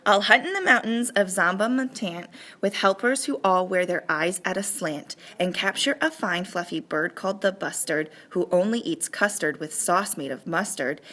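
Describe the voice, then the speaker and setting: A woman reads rhyming verse aloud from a children's storybook. Her voice is a touch lower than average. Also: fairly bright tone. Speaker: staff member reading aloud.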